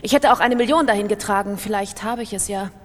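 A woman plays an obsessive female gambler confessing her addiction in a radio play. Her pitch is medium, and the voice carries the reverb of a hall. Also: fast talker, close to an everyday voice. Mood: confessional. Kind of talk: first-person confessional monologue in radio drama.

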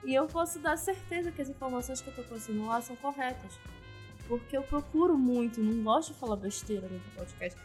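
Woman podcaster, kinda high voice, animated and self-mocking, faint music under it. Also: fairly quick. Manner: animated, self-deprecating, laughs often. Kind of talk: casual podcast chat.

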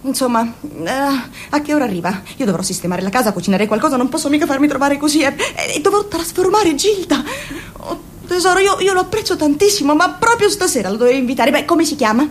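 A woman's voice: sits high, animated, fast, few pauses, slight background noise. An actress playing a bossy, fussing middle-aged mother in a radio play, fawning on guests. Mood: doting. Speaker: mother of two grown children. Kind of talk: radio drama acting.

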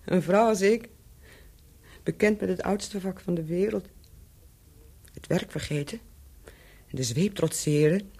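An actress playing a cynical, bittersweet former prostitute and spy in an intimate radio play, with a husky, breathy voice that often drops to a whisper. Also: female voice, rumbling low, mellow, full character voice. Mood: bitter, resigned. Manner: slow, deliberate, bittersweet and mocking. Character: world-weary cynical ex-prostitute and former spy, now hotel keeper.

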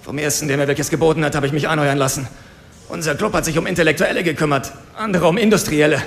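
Creaky-voiced, cynical henchman. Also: fairly high pitch, steady tone, quick pace. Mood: cynical, self-excusing. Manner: coarse, self-excusing. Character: cynical, cowardly male henchman confessing to police. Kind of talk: radio drama acting. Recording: clear ambient noise behind.

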